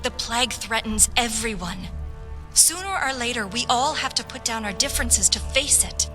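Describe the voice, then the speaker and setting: A woman's voice: a little high, expressive, moderate pace, close to an everyday voice. A woman playing an earnest, patriotic young noble lady officer in a fantasy game, speaking formally and persuasively. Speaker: voice actor in a fantasy game quest mod. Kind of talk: scripted fantasy game dialogue. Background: background music.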